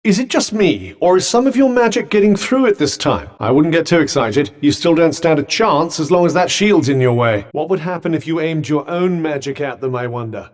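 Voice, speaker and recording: A dry-witted magical bracelet from a video game, full of sarcasm and clipped, formal wording, as a male actor plays him. His pitch sits mid-range but swings in lively arcs between lines. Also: fairly quick, hardly any pauses, lightly acted. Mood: sardonic.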